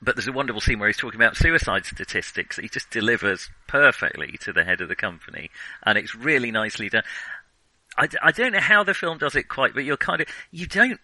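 A man's voice: mid-range voice, lively intonation, quick pace, pushed and bright, no echo, poor audio. A man co-hosting a podcast, chatting casually about a film, hesitant with many ums and restarts. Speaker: podcast co-host.

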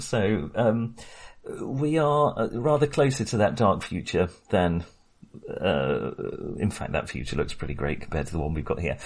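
A man's voice: lowish voice, darkish tone, husky. A middle-aged man hosting a casual film discussion podcast with wry, ironic humour. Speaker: podcast host.

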